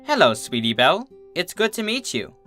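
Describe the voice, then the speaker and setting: A woman's voice: fairly low pitch, big pitch swings, fairly quick, fairly bright tone, soft background music, voice pushed up. Woman playing a gracious, lilting pony princess. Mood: cooing, tender. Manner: gracious, cooing, playful. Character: regal, motherly adult pony princess, amused and serene.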